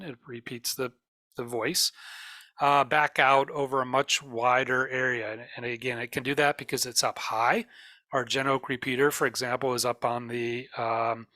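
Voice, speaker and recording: A man giving an informational slide presentation as a presenter, explaining things in a halting way with frequent pauses. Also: medium pitch, pushed and bright, dry recording. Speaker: presenter for an emergency radio network.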